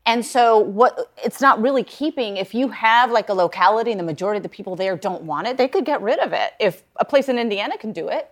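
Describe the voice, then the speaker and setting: A woman's voice: medium pitch, lively intonation, fast, hardly any pauses. A woman from Puerto Rico speaking as a commentator on a television panel, giving her opinions conversationally.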